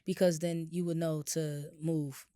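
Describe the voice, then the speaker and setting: Raspy woman with a cold, chatting. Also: low-pitched, measured pace, no echo. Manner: relaxed, rambling with many fillers. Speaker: podcast co-host. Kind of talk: casual chat.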